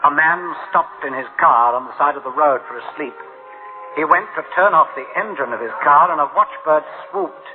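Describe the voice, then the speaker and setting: An actor playing an anxious senior official in a radio play, speaking gravely and haltingly with theatrical delivery on a tinny recording. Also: male voice, fairly high pitch, over music, natural voice. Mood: alarmed. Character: anxious senior official alarmed by runaway machines. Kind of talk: dramatic radio play acting.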